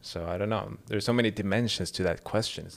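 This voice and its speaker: A man with a fairly low voice co-hosts a podcast in casual chat. He reflects aloud in a searching, thoughtful way and keeps restarting his sentences as he works through an idea. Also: fast talker, vocal fry. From second-generation immigrant. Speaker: podcast co-host.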